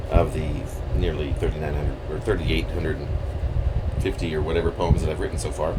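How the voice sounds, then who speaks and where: A man with a very low voice speaks as a poet presenting his own writing. He is hesitant and self-deprecating, wandering casually from one aside to another. The home recording has a clear, steady background noise. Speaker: poet introducing and reading own poem. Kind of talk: rambling casual talk around a poem reading.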